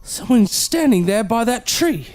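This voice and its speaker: An actor playing an excitable, cocky young brother who spooks easily, in an audio drama. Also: male voice, extremely high pitch, unhurried, full character voice. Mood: agitated.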